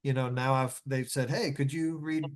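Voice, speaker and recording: A man speaking as a guest author in a casual conversation, halting and loosely phrased, often repeating words as he goes. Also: medium pitch, fairly even intonation.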